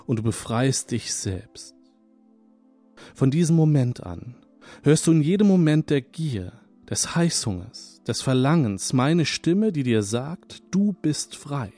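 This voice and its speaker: Slow soothing male hypnotist. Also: mid-range voice, sing-song. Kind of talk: guided radio hypnosis session. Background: soft background music.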